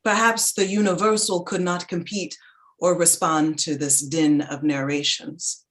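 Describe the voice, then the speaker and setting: A woman giving a dramatic reading of a literary essay in a measured, reflective, articulate manner. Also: on the low side, flat delivery, a bit bright. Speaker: reader in a staged reading.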